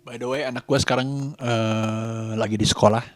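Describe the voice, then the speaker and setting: A man talking in a casual daily vlog, reflective and halting, pausing mid-sentence. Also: mid-range voice, fairly even intonation, faint music behind. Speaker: vlogger.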